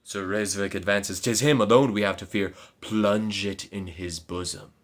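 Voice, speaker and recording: A man voicing a scheming knight in a gothic tale, speaking in stern, measured declamations. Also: on the low side, fairly even intonation, close to an everyday voice. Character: scheming male knight, smooth and commanding. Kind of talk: dramatic gothic reading.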